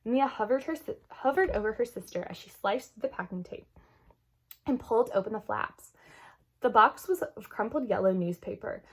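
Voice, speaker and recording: Animated woman reading a children's story. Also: fairly high pitch, normal speed, breathy edge. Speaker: read-aloud storyteller. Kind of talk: expressive scripted narration of a children's chapter book.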